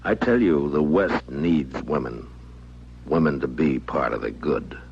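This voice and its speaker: A man's voice: rumbling low, lively intonation, a little slow, vocal fry, darkish tone, exaggerated voice. A man playing a stern, protective frontier marshal in an old-time radio western, speaking firmly and plainly. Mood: kind, earnest. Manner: firm, plainspoken, commanding. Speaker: radio drama lead actor. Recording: dull, noisy vintage radio recording, hum.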